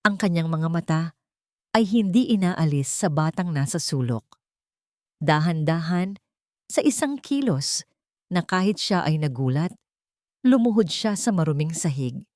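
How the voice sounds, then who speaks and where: A woman's voice: on the low side, lively intonation, a little slow, long pauses, darkish tone, no room sound, studio quality. A woman with a Filipino accent reading a scripted story in a dramatic narrating style. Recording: music behind the voice. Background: music.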